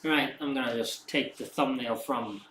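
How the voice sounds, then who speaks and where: A man who is not a native English speaker, rambling and thinking aloud after a home workout, with many halting restarts. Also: medium pitch, a bit bright. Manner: rambling, halting, self-reflective. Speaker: fitness streamer. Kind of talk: casual musing after a workout. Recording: roomy echo, distant mic, faint background hum.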